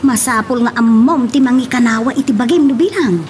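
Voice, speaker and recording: A woman's voice: on the high side, fairly quick, slight background noise, close to an everyday voice. A woman playing a sympathetic, outspoken friend in a radio drama, with an Ilocano accent. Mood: concerned, emphatic.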